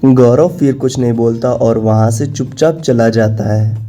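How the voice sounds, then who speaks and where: A man reads a scripted cartoon story as narrator. His voice is medium in pitch and notably soft and mellow. Light music plays underneath. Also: measured pace. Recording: music behind the voice.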